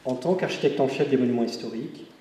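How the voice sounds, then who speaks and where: A man giving a solemn public speech as an architect, deliberate, pausing between phrases. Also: mid-range voice, warm tone. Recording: very echoey hall, distant, faint noise.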